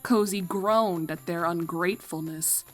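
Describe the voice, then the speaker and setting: A woman's voice: fairly low pitch, measured pace, soft background music. A woman reading a fan fiction story as a scripted narration.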